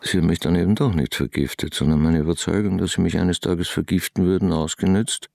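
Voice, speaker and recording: A man in a radio drama playing a dead, paranoid old genius logician, pedantic and ruminative, with a husky, gravelly voice. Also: sits low, steady tone, brisk, darkish tone. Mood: pedantic, brooding. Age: older. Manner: pedantic, ruminative, formal reasoning.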